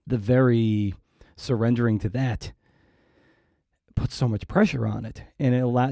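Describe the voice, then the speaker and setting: A man with a very mellow, dark voice hosts a conversational interview. He thinks aloud in wordy, halting stretches, and the sound is very dry with no room echo. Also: mid-range voice. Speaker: podcast host, writer.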